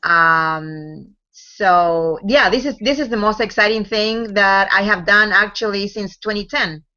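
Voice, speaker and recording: A woman's voice: mid-range voice, measured pace, sharp tone. A woman speaking as a guest on a video call, hesitant, with many fillers and restarts. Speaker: guest, group administrator. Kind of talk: casual chat on a video call. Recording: dull lo-fi video call audio.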